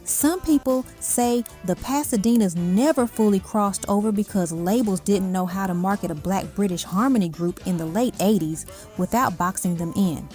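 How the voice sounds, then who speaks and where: A woman narrates a music countdown from a script. Her voice is mellow and sits at a medium pitch, and she lands short, punchy phrases with emphasis. Music plays faintly underneath. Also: moderate pace.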